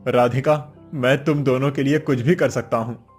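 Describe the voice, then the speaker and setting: A man's voice: mid-range voice, fairly even intonation, moderate pace, breathy edge, faint music behind, natural voice. A man voicing a poor, desperate and devoted village cobbler, humble and earnest, in a cartoon story. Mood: weary, earnest.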